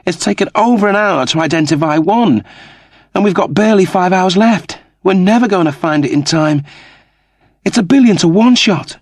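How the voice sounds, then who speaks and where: A man's voice: sits high, expressive, normal speed, no room sound, clean studio sound, pitched above the performer's own voice. A man voicing an anxious, blunt female spaceship officer, speaking urgently in a science fiction audiobook. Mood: exasperated, urgent. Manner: urgent, protesting.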